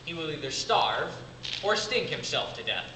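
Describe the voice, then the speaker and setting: Guy doing a worn-out devoted older brother stage monologue, higher voice, lots of pauses, far from the mic in an echoey room. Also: sing-song. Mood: explanatory, intense. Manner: deliberate, dramatic pauses between short phrases. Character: weary, devoted big brother caring for disturbed sibling. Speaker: amateur drama club actor. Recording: distant mic, echoey room, clearly noisy.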